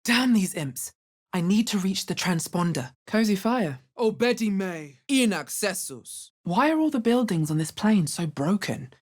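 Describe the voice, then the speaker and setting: A woman playing a wary, dry fantasy game adventurer with a deep, husky voice and a measured, understated delivery. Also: animated. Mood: commanding incantation. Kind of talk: video game character voice lines, short exploration remarks and spell incantations.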